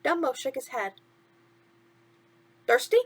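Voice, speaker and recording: A woman's voice: high voice, lively intonation, a little slow. A woman hosting bedtime stories, speaking simply and encouragingly to young listeners. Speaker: host of a bedtime story series. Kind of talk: storytelling to children.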